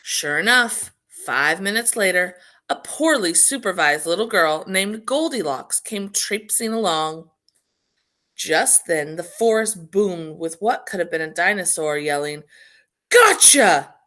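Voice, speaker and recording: A woman reading a children's storybook aloud in a playful, tongue-in-cheek manner with emphatic pauses. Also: fairly low pitch, lively intonation, pushed and bright. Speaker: school storybook reader.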